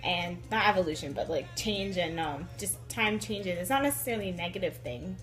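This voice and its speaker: A woman's voice: fairly low pitch, expressive, a little fast, a bit bright, soft background music, low-quality recording. A woman giving a thoughtful, casual interview answer, correcting herself as she goes and saying "like" often. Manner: thoughtful, self-correcting, filler like.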